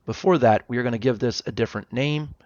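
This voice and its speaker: A man narrating an informal software demo as presenter, explaining things step by step. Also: mid-range voice, fast, dry recording, lo-fi sound. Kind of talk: informal product walkthrough narration.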